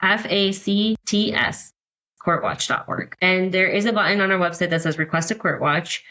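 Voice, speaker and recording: A woman's voice: on the low side, normal speed, bright, forceful tone, low-quality recording. An American woman speaking as an advocate and interview guest, explaining things in a conversational way. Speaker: podcast guest, court-watch advocate.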